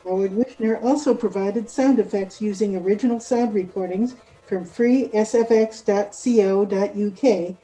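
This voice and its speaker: A woman's voice: medium pitch, moderate pace, mellow, rough recording. A woman announcer reading scripted closing credits for a radio drama.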